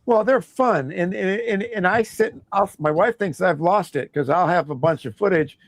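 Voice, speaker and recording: A man hosting a casual live stream, loud voiced and prone to rambling restarts. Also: sits high, normal speed, no echo. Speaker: host.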